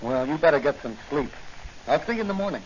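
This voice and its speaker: Calm, assured young American lawman played by a male actor, 1940s radio style, dull recording with light hiss. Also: fairly high pitch, fast talker, close to an everyday voice. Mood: serious, investigative. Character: calm, confident young male police hero.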